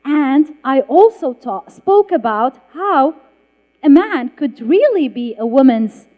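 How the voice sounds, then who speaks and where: A woman's voice: high voice, very animated, slow. A woman, an author telling a story on a festival panel with emphatic restarts. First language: Arabic. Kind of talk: public panel talk with audience. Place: festival hall stage. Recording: dull, echoey hall sound.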